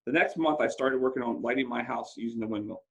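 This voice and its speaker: A man reading a first-person story aloud in an expressive, dramatic way, occasionally stumbling over a word. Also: medium pitch, quick pace, a little hiss or hum. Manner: dramatic, acts out dialogue, stumbles over words now and then. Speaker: school principal reading a book aloud.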